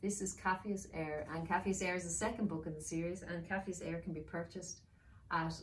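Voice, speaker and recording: A woman's voice: on the low side, fairly even intonation, brisk. A woman narrating a children's story expressively, as an author reading aloud. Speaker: author reading own book. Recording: roomy, distant mic with faint noise.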